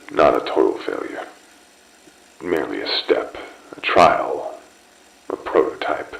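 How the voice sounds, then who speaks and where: Very deep gravelly mad scientist. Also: male voice, heavily acted. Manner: slow, deliberate, grandiose pronouncements. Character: cold obsessive male mad scientist dictating experiment logs. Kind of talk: scripted horror game audio log. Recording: echoey taped audio log.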